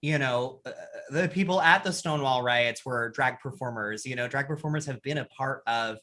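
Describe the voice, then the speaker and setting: A man talking casually as a guest on a charity livestream, loud and animated, full of fillers. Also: mid-range voice, moderate pace. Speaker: guest creator.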